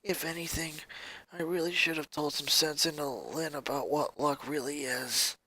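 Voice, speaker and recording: A man voicing a timid, guilt-ridden eight-year-old emo girl from a story, in a subdued, halting, apologetic way. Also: on the high side, bright, forceful tone, no room sound, voice pushed down, rough recording. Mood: sorry, defeated.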